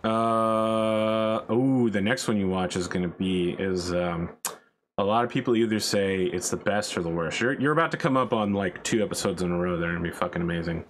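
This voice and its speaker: A man chatting casually on a gaming stream, eager to explain and quick to swear. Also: on the low side, slight background noise. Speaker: streamer and co-host. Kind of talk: casual chat while gaming.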